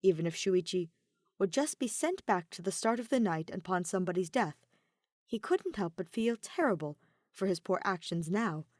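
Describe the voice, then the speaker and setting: A woman's voice: lowish voice, lively intonation, normal speed, darkish tone, dry recording, clean studio sound. A woman reading scripted fiction as an audiobook narrator in a dramatic style.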